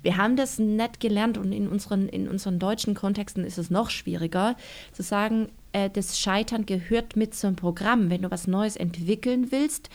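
A woman's voice: medium pitch, steady tone, moderate pace. A woman, an author being interviewed, talking casually and reflectively, with many fillers and restarts.

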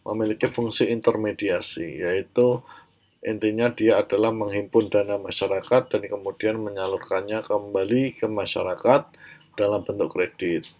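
Male Indonesian educational presenter, lowish voice, mildly stuffed up with a cold, informal explanatory lecture delivery. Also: steady tone, moderate pace, a little mellow. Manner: explanatory, checks in with short tags. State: congested with a mild cold. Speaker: presenter of an educational banking channel.

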